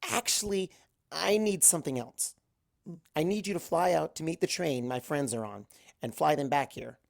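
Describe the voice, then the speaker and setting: Man doing a brisk, high-pitched unicorn mare. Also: vocal fry, dry recording, studio quality. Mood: brisk, matter-of-fact. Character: urgent, brisk young female unicorn pony with magic.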